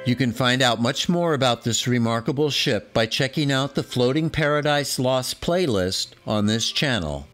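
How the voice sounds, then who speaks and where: A man in his sixties reading a scripted voice-over in a measured, informative way. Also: medium pitch, animated, fairly bright tone, faint music behind. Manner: measured, informative, fond of the subject. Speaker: documentary narrator.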